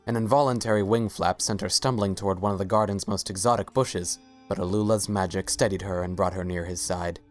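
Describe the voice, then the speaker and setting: Low-voiced man narrating a story. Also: fairly even intonation, brisk, hardly any pauses. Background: faint music.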